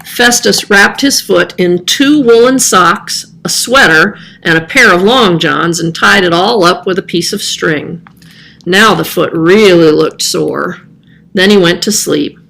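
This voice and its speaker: A woman's voice: on the low side, measured pace, creaky, fairly bright tone, rough recording. A woman reading a children's story aloud as scripted narration. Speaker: storybook reader.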